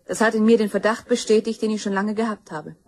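Actress as grim, husky young woman seeking justice. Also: mid-range voice, steady tone, fast, darkish tone, lightly acted. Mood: grave, accusing. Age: young adult. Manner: accusing, insistent. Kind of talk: German crime radio play acting.

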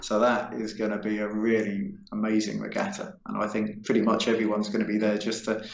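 A man's voice: on the low side, completely flat delivery, fairly quick, vocal fry. Older male co-host, chatty and upbeat, roomy lo-fi video-call sound. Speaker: show co-host. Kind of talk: casual video-call chat.

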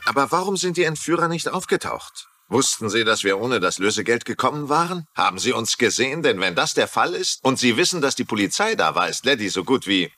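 A man's voice: medium pitch, big pitch swings, fairly quick, few pauses, bright, forceful tone, a little hiss or hum. An actor playing an urgent, combative male operative in a TV crime drama, speaking in a brusque, insistent way. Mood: indignant. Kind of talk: German-dubbed TV crime drama acting.

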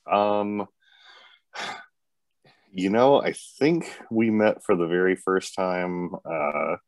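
A man's voice: low-pitched, takes it slowly, dry recording. A man in his forties, a tattoo artist guest, talking in a rambling way with many fillers over a video call. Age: 40s. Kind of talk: casual interview chat. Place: indoor room. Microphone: webcam on video call.

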